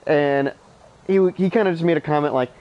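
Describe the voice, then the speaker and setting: A man's voice: on the high side, a little fast, muffled recording, slight background noise. A stuffy, sleep-deprived man in his thirties rambling and swearing as he plays a game. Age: 30s. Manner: rambling, profane, opinionated. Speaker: streamer. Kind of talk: casual gameplay chatter. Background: game music.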